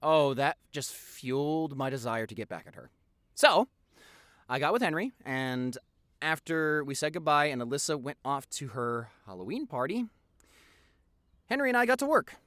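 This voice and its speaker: A man's voice: fairly high pitch, lively intonation, normal speed, a bit bright, no echo, studio quality. A man playing a playful young boyfriend in a roleplay audio, rambling through a story in a scatterbrained, stammering way. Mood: playful, excited. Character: playful, rambling young boyfriend telling childhood prank stories.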